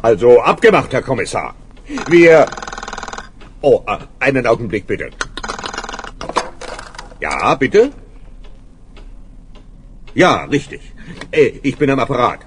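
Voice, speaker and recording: An actor playing an easygoing kangaroo in a children's audio play, hesitating with little ahs and hms. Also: male voice, mid-range voice, sing-song, takes it slowly, pauses often, a little hiss or hum. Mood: polite. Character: easygoing male kangaroo, leader of animal friends.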